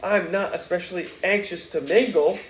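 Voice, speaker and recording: A man's voice: high-pitched, moderate pace, reverberant, a little hiss or hum, voice pushed up, lightly acted, low-quality recording. A man reading aloud and voicing a pompous, disdainful grown son who speaks coldly and loftily. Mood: haughty. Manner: haughty, cold, lofty.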